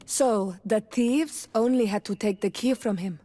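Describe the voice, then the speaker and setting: A woman voicing a sceptical companion in a video game, with a wry, deliberate way of talking. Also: mid-range voice, dark tone, natural voice. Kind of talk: video game dialogue acting.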